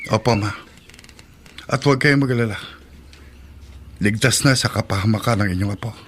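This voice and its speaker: A man's voice: lowish voice, moderate pace, fairly bright tone, breathy edge. An actor playing a wealthy politician and father, firm and commanding, in a radio drama. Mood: steady.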